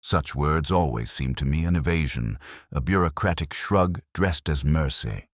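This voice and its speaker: Casting: male actor for brooding, introspective detective telling his own mystery; rock-bottom pitch, formal measured phrasing with lively melodic movement. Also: dry recording, studio quality. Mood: brooding, reflective. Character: brooding, sceptical English police detective, mature man, reflective. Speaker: narrator. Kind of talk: scripted first-person mystery narration, literary.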